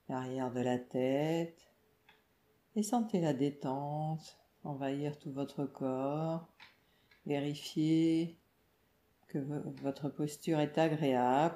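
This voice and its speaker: A woman meditation teacher leading a guided relaxation in a calm, soothing, instructional way, with short phrases and pauses. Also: low-pitched. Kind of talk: guided yoga nidra relaxation narration. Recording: roomy, echoing voice recording.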